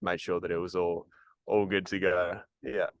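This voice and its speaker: Very deep, flat-voiced young man. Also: moderate pace, no echo, studio quality. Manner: hesitant, many ums and restarts. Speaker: actor and musician, interview guest. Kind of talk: casual interview answers.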